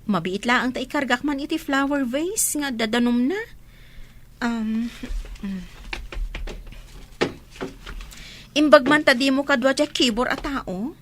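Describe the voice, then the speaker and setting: A woman's voice: high-pitched, moderate pace, a little hiss or hum, close to an everyday voice. A woman with an Ilocano accent playing a coy young village maiden being courted in a radio comedy drama. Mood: pleased, coy.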